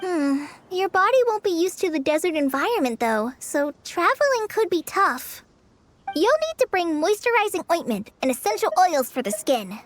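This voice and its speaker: A woman voicing a gentle, caring teenage girl with an earnest manner in a video game. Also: very high voice, very animated, normal speed, slight background noise. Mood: helpful concern. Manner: earnest, practical, considerate. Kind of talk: video game cutscene acting.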